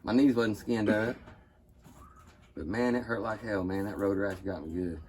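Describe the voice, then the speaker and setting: A man's voice: fairly low pitch, fairly even intonation, normal speed, darkish tone. A middle-aged American man, a motorcycle mechanic, telling stories casually in plain, colloquial language. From United States. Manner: plain-spoken, colloquial, cursing. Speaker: motorcycle mechanic and rider, interview guest. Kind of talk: casual storytelling and chat. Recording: clearly noisy background.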